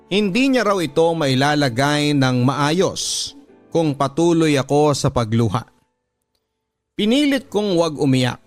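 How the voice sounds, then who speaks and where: A man with a Filipino accent reads a listener's letter as dramatic scripted radio narration, solemn and deliberate. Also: a little high. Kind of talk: dramatic scripted narration of a confessional love story. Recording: music bed under the voice. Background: background music.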